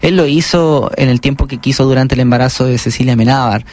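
A man sits in as a guest and talks over his book in a loose, conversational way. He stops and restarts often and fills the gaps with little hesitations. His voice sits at an ordinary middle pitch. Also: darkish tone. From Chile. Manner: halting, many restarts and fillers. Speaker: author guest. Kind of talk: casual interview conversation.

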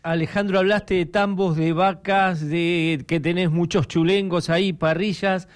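Playful Argentine host with a higher voice. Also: male voice, steady tone, measured pace. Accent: Argentine Spanish. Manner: playful, chatty questions. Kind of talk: casual interview chat.

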